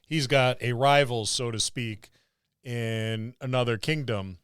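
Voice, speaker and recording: A male podcast co-host talks casually about tabletop gaming in a smooth, clear voice of average pitch. He thinks aloud and repeats words for emphasis, recorded close and dry. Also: fairly even intonation, takes it slowly, a bit bright, dry recording. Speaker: podcast co-host and experienced game master.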